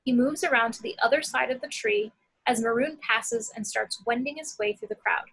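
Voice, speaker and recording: A woman with a husky edge reading a screenplay's action descriptions as narration. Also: a little high, moderate pace, fairly bright tone, poor audio.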